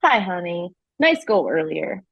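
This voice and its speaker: A woman playing a gentle, tentative mother and soon-to-be ex-wife in an audio play, speaking haltingly. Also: lowish voice, big pitch swings, creaky, husky, no echo, muffled recording, heavily acted. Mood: tentative, warm.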